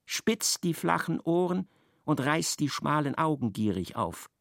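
Gravelly male narrator of a radio play. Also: medium pitch, dry recording, studio quality. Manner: measured, expressive storytelling. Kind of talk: literary radio drama narration.

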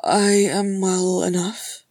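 A woman's voice: on the low side, steady tone, a little slow, dark tone, breathy edge, no room sound, studio quality. A woman voicing an earnest, stout male dwarf in a fantasy story, awestruck and bewildered, with emphatic, old-fashioned formal phrasing.

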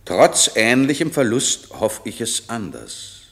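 An actor playing a grave, commanding wronged duke and magician in a classic radio play, speaking verse in a solemn, measured way. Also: male voice, mid-range voice, big pitch swings, creaky, a bit bright, full character voice. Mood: grave, promising.

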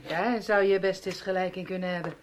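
An actress in a radio drama playing a scheming, coolly ironic wife who talks in a dry, insinuating way. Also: female voice, fairly low pitch, fairly even intonation, fairly quick, slight background noise, lightly acted. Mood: dry, guarded.

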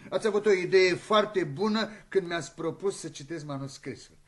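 An actor playing an eager, delighted elderly academician with effusive, theatrical delivery in a radio comedy. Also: male voice, high-pitched, close to an everyday voice. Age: older.

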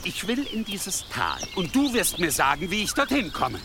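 An actor playing a menacing, commanding leader of medieval horsemen in an audio drama, imperious and threatening. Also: male voice, high voice, huge pitch swings, normal speed, creaky, noisy background, heavily acted. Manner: imperious, threatening, barking orders.

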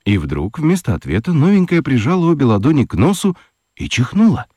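A man narrating a children's radio play in a gently ironic, highly expressive storytelling manner. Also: brisk, breathy edge, dry recording, clean studio sound.

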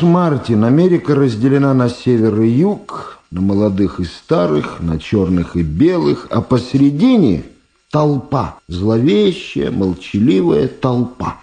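An actor playing a smooth-talking political schemer in a radio drama, coaxing and insistent, repeating words and pausing for effect. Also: male voice, on the low side, mellow. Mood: persuasive, solemn.

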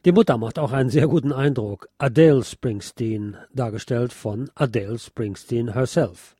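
A man's voice: mid-range voice, normal speed, mellow, breathy edge, no echo, studio quality. A man presenting a scripted film review as narration.

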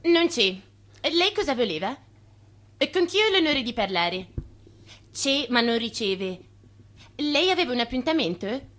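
A woman playing an evasive, officious secretary in a radio play, brisk and stonewalling. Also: fairly high pitch, very animated, a bit bright.

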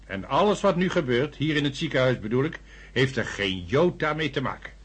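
A man's voice: medium pitch, expressive, a little fast, fairly bright tone, breathy edge. An actor playing a skeptical male doctor in a radio drama, talking in a firm, dismissive way. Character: skeptical male hospital doctor Walter Simons. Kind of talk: 1970s radio drama acting.

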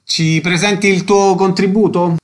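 A man's voice: high-pitched, fairly even intonation, normal speed. A male radio host presenting guests and putting short questions to them in an interview style.

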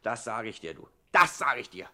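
An actor playing an indignant, proud pickpocket gangster in 1960s German radio comedy, grumbling emphatically and repeating himself. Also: male voice, medium pitch, sing-song, normal speed, over loud music, natural voice. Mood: defiant.

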